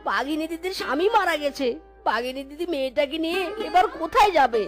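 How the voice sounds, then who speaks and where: A woman's voice: high voice, animated, quick pace. Woman doing a cheeky male cartoon fox. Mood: soft-hearted. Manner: playful, singsong, mixes in English words. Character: sly male fox thief, cheeky and flirtatious. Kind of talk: cartoon voice acting. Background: loud cartoon music.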